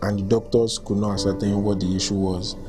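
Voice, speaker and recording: A man's voice: fairly low pitch, little pitch movement, fairly quick. A man with a Nigerian accent, reading personal accounts aloud and reacting to them casually. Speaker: volunteer reader reacting to written accounts. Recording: music bed under the voice. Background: music.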